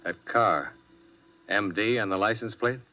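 A man's voice: lowish voice, measured pace, lightly acted. An actor playing a terse, hard-boiled male insurance investigator in a 1950s radio drama, narrating in clipped sentences. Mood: tense. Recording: dull old radio recording.

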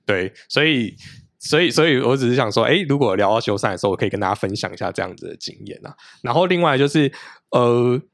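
A man's voice: mid-range voice, animated, fast, dry recording, studio quality. A man in his late thirties, a podcast guest in casual chat, explaining things at length in a practical, detailed way.

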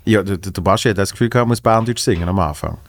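A man's voice: sits low, very fast. A man with a Swiss German accent interviewing casually, giving short backchannels and asking brief questions.

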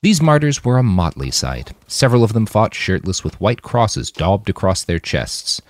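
A man's voice: fairly low pitch, very animated, moderate pace, no room sound. A man reading his action fiction aloud in an audiobook style. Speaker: author reading his own fiction on a podcast.

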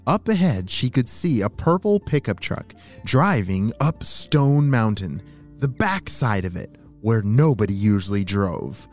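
A man hosting a children's story podcast, narrating in an animated, exclamatory way and speaking straight to young listeners. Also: lowish voice, unhurried, faint music behind.

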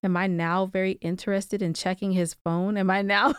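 A young adult woman co-hosting a podcast, talking candidly and reflectively in casual chat, quick to break into playful laughter. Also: mid-range voice, level tone, clean tone, no echo, clean studio sound. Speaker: podcast co-host.

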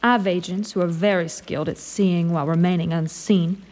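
An actress playing a cold, menacing Elven lord commander, speaking in a haughty, measured, formal way in an audio drama. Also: female voice, on the low side, fairly even intonation, darkish tone, lightly acted. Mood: cool, probing.

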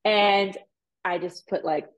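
A woman's voice: medium pitch, takes it slowly. A woman in her thirties, born in Puerto Rico and raised in Miami, talking casually as a podcast guest and telling stories full of "like" and "you know". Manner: chatty, animated, many fillers like "like" and "you know". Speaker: real estate agent, guest. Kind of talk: casual interview storytelling.

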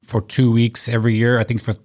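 A man's voice: mid-range voice, completely flat delivery, fast talker, darkish tone. A man chatting casually on an interview, hesitant and full of "like" fillers.

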